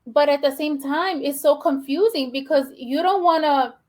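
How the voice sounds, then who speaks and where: A woman's voice: sits high, normal speed. A woman from the Bronx with a New York Puerto Rican accent, a guest educator speaking earnestly and reflectively, filling her talk with "you know" and "like". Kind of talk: conversational interview.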